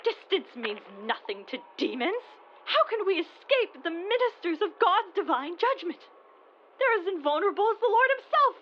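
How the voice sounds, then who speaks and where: An actress playing a distraught, fanatical woman preacher in an audio drama, lamenting in halting, repetitive sermon phrases. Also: very high voice, big pitch swings, dull audio, a little hiss or hum. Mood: doomsaying despair. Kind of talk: modern audio drama acting.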